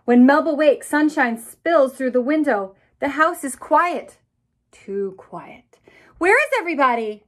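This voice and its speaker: High-voiced woman reading picture books aloud. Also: takes it slowly. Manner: expressive, addresses young listeners directly. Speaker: children's author reading aloud. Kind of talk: picture book read-aloud for children. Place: small indoor room with bookshelves.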